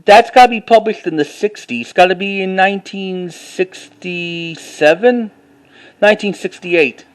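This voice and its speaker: A man with a high-pitched voice talks casually as he shows off a collection. He reads titles out and speaks in a halting way, often restarting or repeating a phrase. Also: smooth, low-quality recording. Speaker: collector showing finds to camera. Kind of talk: casual unscripted show-and-tell narration.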